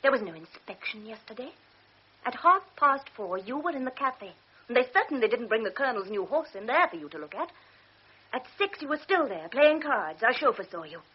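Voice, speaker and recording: An actress playing a proud, sharp-tongued invalid young woman who speaks intensely and reproachfully in a classic radio drama. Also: a little high, big pitch swings, husky. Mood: reproachful, angry. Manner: intense, reproachful, quick to flare. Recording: dull old radio recording.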